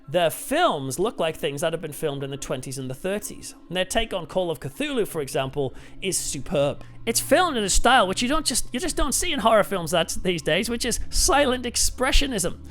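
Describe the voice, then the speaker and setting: A man's voice: high voice, sing-song, normal speed, few pauses, a bit bright, soft background music. A man hosting a casual, enthusiastic, chatty review. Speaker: host and reviewer.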